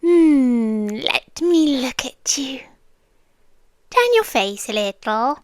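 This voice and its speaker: A woman's voice: sits high, lively intonation, very slow, no echo, exaggerated voice. A British woman playing a possessive, doting yandere landlady girlfriend in an ASMR roleplay, cooing intimately and slipping into whispers close to the mic. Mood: coaxing, controlling. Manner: cooing, breathy asides that drop into whispers. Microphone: close, dry microphone.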